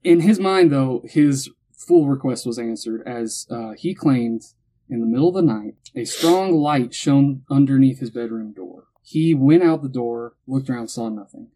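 A young man hosting a casual podcast chat, retelling a story in an animated way and acting out quotes. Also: medium pitch, a little slow, breathy edge, low-quality recording. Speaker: podcast host telling the story.

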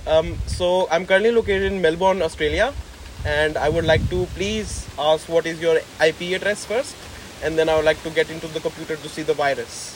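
A man voicing a pushy male phone scam caller with a put-on Indian English accent, in slow, overly polite scripted patter. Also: on the high side, a little hiss or hum, natural voice, rough recording. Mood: mock polite.

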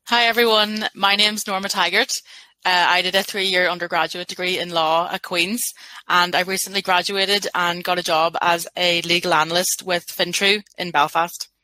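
A young woman talks through her experience as a webinar panelist, composed and measured. Her voice is bright and crisp but stays level in pitch. The sound is dry with no room echo. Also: flat delivery. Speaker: panelist, recent law graduate.

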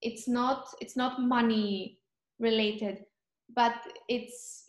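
A young woman from Cyprus, chatting in an interview and often pausing to search for words. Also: fairly high pitch, steady tone, echoey room. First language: Greek. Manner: thoughtful, searching for words, frequent ums. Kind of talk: casual chat.

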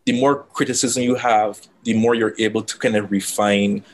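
Man, low voice, dry and reflective, interview guest. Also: normal speed, slight background noise. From Barbados. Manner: relaxed, reflective, self-described dry delivery. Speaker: guest, brand consultant. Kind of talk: casual interview conversation.

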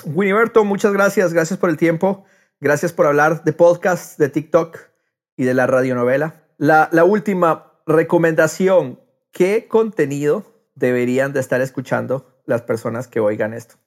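Higher-pitched man hosting a casual podcast. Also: moderate pace. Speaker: podcast host. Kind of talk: casual interview conversation.

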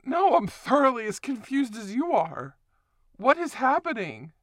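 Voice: high-pitched, sing-song, measured pace, no room sound. A performer voicing a confused person who has woken up as a werewolf, stammering and swearing through an audio roleplay monologue. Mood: bewildered, panicky.

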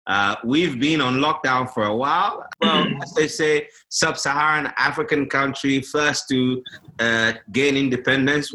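A man from Ghana hosting a podcast, speaking in a measured, formal way. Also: medium pitch, sharp tone, low-quality recording. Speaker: podcast host. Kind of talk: scripted podcast introduction.

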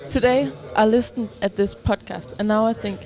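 A man from Denmark with a Danish accent, chatting casually in a halting, rambling but insistent way. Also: high voice, darkish tone. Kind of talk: casual chat.

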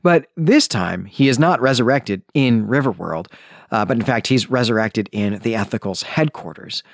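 A man hosting a podcast, telling a story in a conversational, animated way with casual asides. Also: mid-range voice, normal speed, creaky, no echo, clean studio sound. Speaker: podcast host. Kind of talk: conversational book summary and commentary.

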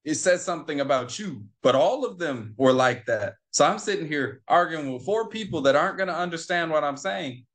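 A man's voice: fairly high pitch, lively intonation, brisk, hardly any pauses, fairly bright tone. A man telling a personal story in an interview, acting out the quoted exchanges and repeating phrases for emphasis. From United States. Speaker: interview guest. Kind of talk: conversational storytelling.

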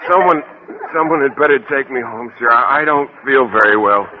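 A man's voice: medium pitch, fairly quick, close to an everyday voice. An actor playing a bashful, meek male teacher in an old radio comedy, hesitant and stammering. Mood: meek, nervous. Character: timid, bashful male teacher feigning sickness. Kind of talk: 1940s-50s radio comedy acting. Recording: tinny, very noisy old radio recording.

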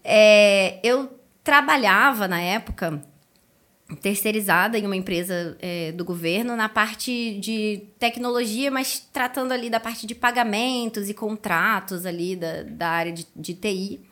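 A woman in her thirties with a Carioca Brazilian accent, telling her story casually as an interview guest, chatty and colloquial, quick to laugh. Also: medium pitch, fairly bright tone. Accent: Carioca Brazilian Portuguese. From Rio de Janeiro, Brazil. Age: 30s. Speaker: podcast guest, educator and speaker.

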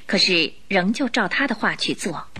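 A woman narrating a scripted radio drama in an expressive storytelling style. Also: mid-range voice, moderate pace, slight background noise. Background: music.

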